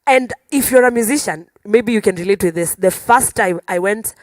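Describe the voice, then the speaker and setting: A woman with a Kenyan accent talking casually as a musician guest on a panel, telling stories in an animated way. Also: medium pitch, normal speed, no echo, clean studio sound. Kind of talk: casual conversational panel talk.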